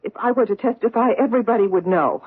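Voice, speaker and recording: A guarded housewife with a husky voice. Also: female voice, a little high, fast talker. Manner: defensive, tense, evasive. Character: guarded middle-aged American housewife hiding her past. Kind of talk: 1970s radio drama acting. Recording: tinny old radio broadcast.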